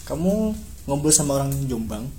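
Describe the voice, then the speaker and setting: Young East Java man, warm and mellow. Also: mid-range voice, big pitch swings, moderate pace, slight background noise. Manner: chatty, laughs often, echoes words back. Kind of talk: casual chat.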